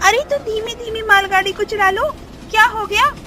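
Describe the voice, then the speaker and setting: A woman voicing a flirty, bossy, quick-tempered witch ghost in a cartoon, with a shrill, nagging delivery. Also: pushed and bright, slight background noise. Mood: pouting, flirty. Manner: nagging, teasing. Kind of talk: animated horror-comedy story acting.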